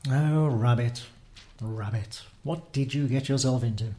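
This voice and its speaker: An actor playing an unflappable, dryly witty male spy in a radio theatre drama, with deadpan, punning delivery. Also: medium pitch, measured pace, close to an everyday voice. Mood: wry grief. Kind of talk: scripted radio theatre acting.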